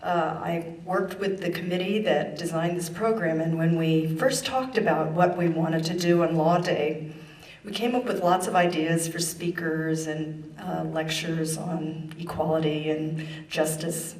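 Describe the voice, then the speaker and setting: Echoey, lower-pitched woman giving formal speech. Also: steady tone, a little slow, a little mellow. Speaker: state official speaking at a ceremony. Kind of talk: formal ceremony speech and proclamation reading. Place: large echoey indoor ceremony room. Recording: echoey room, distant microphone.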